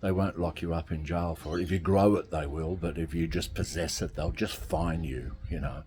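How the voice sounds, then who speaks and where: A man's voice: low voice, steady tone, moderate pace, background noise. A man, talking casually to camera from outdoors by a garden, rambling and repeating himself. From Australia. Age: 60s. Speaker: vlogger.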